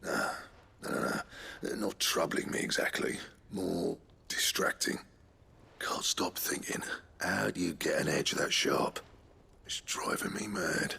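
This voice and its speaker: Game role: gruff foul-mouthed British smith, low rumble, extremely rasping and husky, blunt. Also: male voice, slow, pushed and bright, exaggerated voice. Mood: preoccupied. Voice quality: very gravelly, husky, rough. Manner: blunt, grumbling. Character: gruff, grudging, foul-mouthed master blacksmith. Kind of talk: video game voice acting.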